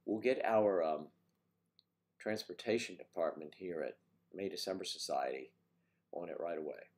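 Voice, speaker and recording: An older man with a congested, deep voice, chatting casually and teasingly on his morning livestream, greeting viewers by name. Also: moderate pace, a little mellow. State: congested with a cold. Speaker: livestream host.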